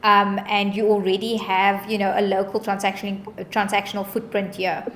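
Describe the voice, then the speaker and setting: A woman's voice: mid-range voice, level tone, normal speed, fairly bright tone, a little hiss or hum. A woman, a bond originator talking a client through a home loan in an upbeat, encouraging, reassuring way. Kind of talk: client consultation call.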